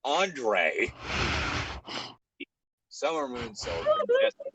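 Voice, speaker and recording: A man's voice: medium pitch, wildly swooping pitch, slow, a bit bright, slight background noise. A man hosting an online show in casual, rambling banter, self-deprecating and prone to swearing.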